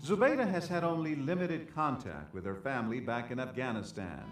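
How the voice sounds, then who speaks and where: Man, news narration, animated pitch, roomy distant sound, music underneath. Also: fairly quick.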